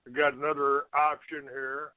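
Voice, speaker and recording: A man hosting a call-in show, ranting off the cuff in a halting, accusatory and combative manner. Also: on the high side, level tone, no echo. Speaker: internet call-in show host. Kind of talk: unscripted talk show rant. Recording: narrow, tinny phone-like line.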